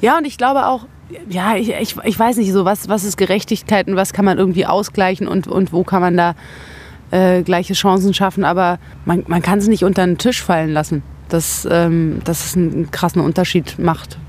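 A woman's voice: on the low side, steady tone, moderate pace, darkish tone. A woman from West Berlin speaking as an interview guest, reflective and opinionated, often searching for words in casual conversation. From West Berlin, Germany. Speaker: interview guest, singer-songwriter.

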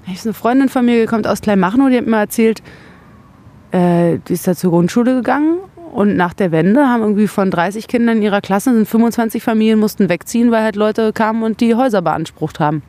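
A woman from West Berlin in a casual interview, with a medium-pitched voice. She talks reflectively as a guest, feeling her way toward her words while holding clear opinions. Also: fairly quick, warm tone. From West Berlin, Germany. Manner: thoughtful, searching for words, opinionated. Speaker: interview guest, singer-songwriter.

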